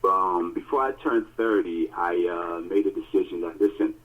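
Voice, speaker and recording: A man's voice: sits low, moderate pace. A man co-hosting a podcast over a phone call, telling stories in a casual, emphatic way with lots of fillers. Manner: animated, emphatic storytelling with many fillers. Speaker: podcast co-host. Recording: tinny phone line.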